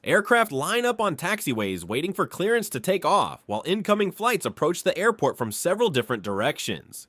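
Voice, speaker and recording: A man reading scripted explainer narration in a measured, clearly phrased way. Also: a little high, a bit bright, dry recording, clean studio sound.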